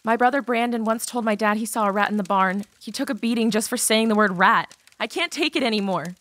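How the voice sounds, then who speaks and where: A woman's voice: a little high, brisk, a bit bright, close to an everyday voice. A woman playing an abused teenage girl confessing a painful past in a dramatized audiobook. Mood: grave, fed up.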